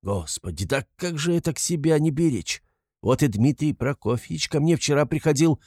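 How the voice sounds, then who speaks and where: A man's voice: fairly high pitch, lively intonation, normal speed, a little mellow, dry recording, clean studio sound, pitched above the performer's own voice. A man playing a wily, fussy police investigator from a classic novel, falsely fatherly, rambling and coaxing in an obsequious way. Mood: fussy concern.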